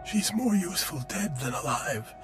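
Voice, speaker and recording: An actor playing a smug, menacing anarchist cell leader in a video game, with a grandiose, mock-courteous delivery. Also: male voice, on the high side, big pitch swings, moderate pace, over music. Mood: gloating.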